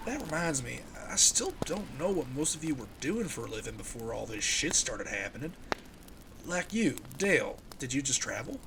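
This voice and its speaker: A man's voice: medium pitch, very animated, moderate pace, gravelly. A man playing a friendly, reassuring survivor and family man in a comic dub, speaking in an earnest, conversational way. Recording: noisy ambient background.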